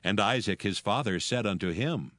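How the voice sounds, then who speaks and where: A man narrating a dramatized scripture reading in a measured, solemn storytelling manner. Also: on the low side, animated, fairly bright tone, no room sound, studio quality.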